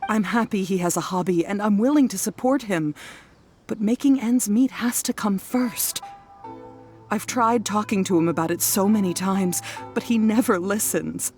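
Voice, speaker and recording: A woman's voice: mid-range voice, moderate pace, a little mellow, soft background music, close to an everyday voice. Worried, earnest wife voiced by a woman. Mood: distressed, exasperated. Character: worried, weary wife and mother, earnest, forgiving. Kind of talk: video game voice acting.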